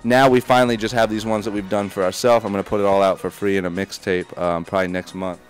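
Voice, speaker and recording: A young man giving a casual tour of a large indoor space, talking in a relaxed, matter-of-fact way into a handheld mic. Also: lowish voice, fast talker, faint music behind. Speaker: tour guide, music producer. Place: large indoor warehouse office.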